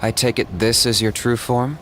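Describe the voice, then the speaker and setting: A man's voice: mid-range voice, fairly even intonation, moderate pace, a bit bright, a little hiss or hum, lightly acted. An actor playing a stoic young male hunter, curt and matter-of-fact.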